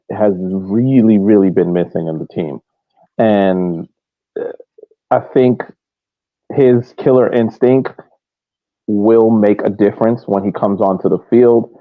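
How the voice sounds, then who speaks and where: A man casually breaking down soccer as a podcast co-host, explaining his points in a measured way. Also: lowish voice, dry recording. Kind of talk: casual sports analysis. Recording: muffled narrow phone-like line.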